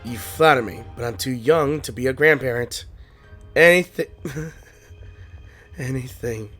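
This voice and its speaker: A male gaming creator chats casually through a let's play, reading along and tossing in wry jokes. His voice is mid-pitched, with game music lightly underneath. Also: a little slow, bright, forceful tone. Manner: wry, joking asides.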